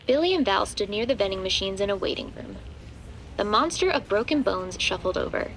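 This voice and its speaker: A woman narrates a horror audio drama from a script. Her voice sits at a middle pitch, with clear noise behind it. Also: expressive, brisk.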